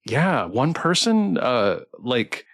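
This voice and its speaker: A man hosting a livestream chat, speaking in an enthusiastic, halting way with repeated restarts. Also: medium pitch, big pitch swings, a bit bright. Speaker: livestream host interviewing a game developer.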